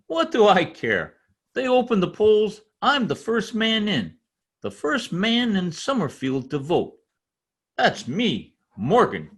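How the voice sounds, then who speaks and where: Radio comedy role: proud new-citizen immigrant, foreign accent, husky, unhurried, hugely animated delivery, simple earnest English. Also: male voice, high-pitched, full character voice. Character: excitable, proud immigrant tradesman, newly a citizen. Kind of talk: old-time radio comedy acting.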